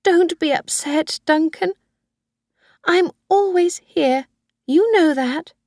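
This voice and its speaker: A frail elderly mother, possessive and obsessed with her son, as a woman reader puts her on. She speaks high and pleading, clinging to every word. The recording is dry and close. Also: slow, a little mellow, dry recording, heavily acted. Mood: pleading, obsessive.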